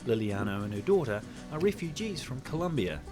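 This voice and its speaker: A man's voice: mid-range voice, sing-song, fairly quick. A man reading scripted documentary narration. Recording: music playing behind the voice. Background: music.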